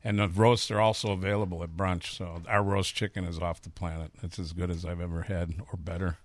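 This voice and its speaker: A man's voice: deep voice, little pitch movement, a little fast, no echo. A man in his fifties with a Chicago accent, a chef talking candidly and rambling through stories in a casual interview. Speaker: chef and restaurateur.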